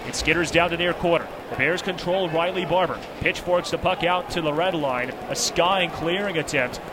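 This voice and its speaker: A man's voice: on the high side, normal speed, noisy background. A man giving live radio play-by-play of ice hockey, with clipped, present-tense calls. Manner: clipped present-tense calling, rising to excited calls at big moments. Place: broadcast position high above the rink in an arena.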